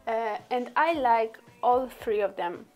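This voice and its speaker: A woman's voice: fairly high pitch, expressive, slow, a little mellow, faint music behind. Chatty woman vlogger with Polish as first language. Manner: chatty, many fillers, laughs often. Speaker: beauty vlogger. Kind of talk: casual makeup tutorial.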